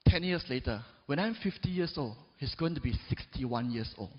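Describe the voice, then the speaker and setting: A man's voice: lively intonation, normal speed, slightly rough. A man in his forties giving a motivational stage speech, emphatic and often asking the crowd "right?" and "yes or no?". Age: 40s. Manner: peppers talk with "right?" and "yes or no?" to the audience; emphatic, swings between loud rises and low drops. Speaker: motivational speaker. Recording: dull recording in a big reverberant hall.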